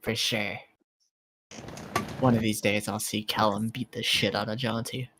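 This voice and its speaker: A woman's voice: extremely low pitch, fairly even intonation, measured pace, noisy background. A woman playing a tabletop roleplay, chatting casually and teasing the others in a giggly way. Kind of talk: casual chat mixed with in-character banter.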